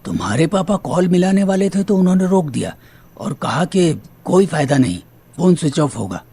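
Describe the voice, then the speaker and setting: Secretive dad, middle-aged, husky n mellow, hushed but swooping pitch, audio drama. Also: male voice, big pitch swings, moderate pace, exaggerated voice. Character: furtive middle-aged father confiding quietly.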